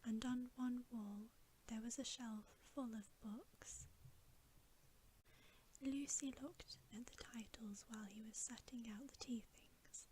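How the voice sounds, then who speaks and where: A woman reading a bedtime story aloud as ASMR in a soft, breathy, unhurried whisper, close to the microphone. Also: a little high, fairly even intonation, slight background noise, poor audio.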